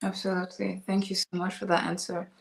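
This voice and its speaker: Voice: medium pitch, monotone, fast talker, creaky. A host politely asking long, winding interview questions during a live conversation.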